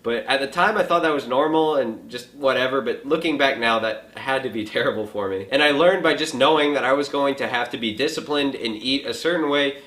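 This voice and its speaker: A twenty-year-old man hosting a casual question and answer vlog from a home kitchen, relaxed and joking, with lots of filler likes. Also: medium pitch, a little fast. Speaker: fitness vlogger answering viewer questions. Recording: echoey room.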